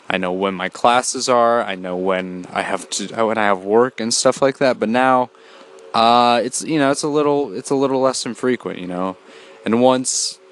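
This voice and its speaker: A man's voice: fairly low pitch, a bit bright, smooth. A young man hosting a solo podcast, talking in a rambling casual way with many fillers and restarts, a bit congested from a cold. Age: young adult. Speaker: podcast host.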